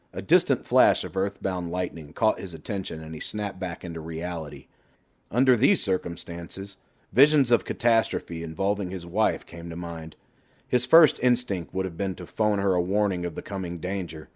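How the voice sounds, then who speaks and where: A man's voice: low-pitched, darkish tone. A man reading a horror novel aloud as scripted audiobook narration.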